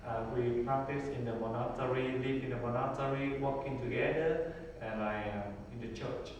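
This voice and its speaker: A Buddhist monk with a Vietnamese accent who answers interview questions in simple English, pausing often and filling gaps with hesitations. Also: male voice, mid-range voice. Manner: halting, many pauses and fillers, simple English. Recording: echoey hall, far from the mic, faint noise.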